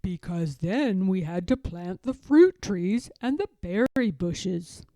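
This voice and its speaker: A woman plays a gentle old grandfather bear in a picture book. He talks slowly and emphatically, in a mellow, close and dry tone, fond and content. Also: medium pitch, lively intonation, dry recording. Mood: explaining. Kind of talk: children's storybook character voice.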